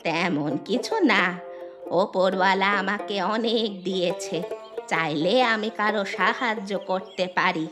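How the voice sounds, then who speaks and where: A woman's voice: mid-range voice, measured pace, close to an everyday voice. A woman voicing a kind, plain-spoken old village grandmother in a fairy-tale cartoon. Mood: calm, forgiving. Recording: music behind the voice.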